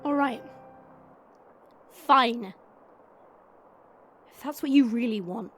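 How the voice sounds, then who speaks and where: A woman's voice: fairly high pitch, slow pace, fairly bright tone, soft background music. A woman voicing a cocky, teasing immortal female fighter in an audio drama, with casual, slangy delivery. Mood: exasperated. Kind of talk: dramatic audio roleplay acting.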